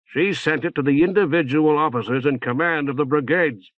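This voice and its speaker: A man's voice: a little high, fairly quick, no echo, narrow, tinny audio, pitched above the performer's own voice, close to an everyday voice. A man reading an audiobook, playing a tense and earnest male army colonel.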